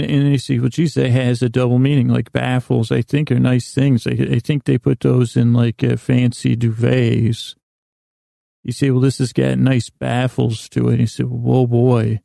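An American man in middle age delivers a wandering bedtime podcast monologue. His voice is mellow and deeply creaky, recorded dry and close to the ear. He meanders, repeats words and lets thoughts trail away. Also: no room sound. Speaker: podcast host.

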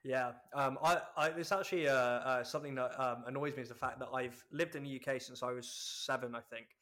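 A man's voice: medium pitch, fairly even intonation, fairly quick, fairly bright tone. Hesitant man with a London accent. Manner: hesitant, many restarts and "um"s. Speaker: podcast host. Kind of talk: casual interview chat.

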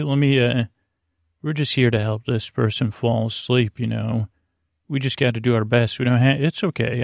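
Male sleep-podcast host: creaky mid-pitched voice, halting and digressive, rambling bedtime delivery. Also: no echo, studio quality. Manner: meandering, halting, drawn-out delivery with asides.

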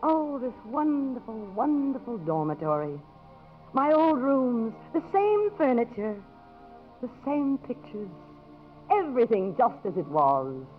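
An actress playing a brisk, wry, privately sentimental congresswoman in the style of 1950s American radio drama. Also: fairly high pitch, sing-song, soft background music. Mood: wistful, delighted. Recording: muffled, noisy 1950s radio broadcast recording.

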